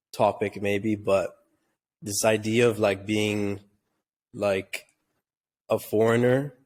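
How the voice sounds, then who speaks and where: A man from Tanzania co-hosting a podcast, chatting casually and rambling with lots of "like". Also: lowish voice. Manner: relaxed and rambling, heavy use of "like". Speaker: podcast co-host.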